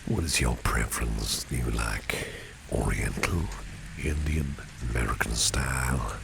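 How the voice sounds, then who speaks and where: A man playing a protective, flirtatious boss in an ASMR roleplay, speaking softly and suavely in a gravelly, breathy near-whisper. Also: very deep voice, heavily acted. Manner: slow, suave, soft-spoken. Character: protective, flirtatious male boss, smooth and courtly. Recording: steady rain noise behind the voice. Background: rain and street ambience.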